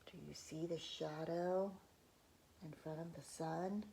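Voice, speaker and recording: A woman reading a folk tale aloud to children, pausing to ask them questions and dropping into whispers. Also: low voice, steady tone, vocal fry, warm tone, a little hiss or hum, rough recording. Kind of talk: children's read-aloud storytelling.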